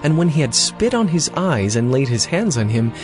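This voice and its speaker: Animated male scripture narrator. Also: medium pitch, normal speed, over music.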